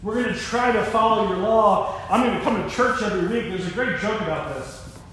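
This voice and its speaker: A man's voice: high-pitched, a little fast, fairly bright tone. A man in his thirties preaching a sermon as a pastor. He speaks earnestly and often repeats words as he works through his thoughts. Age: 30s. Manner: earnest, repeats words while thinking aloud. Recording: very echoey room, faint noise.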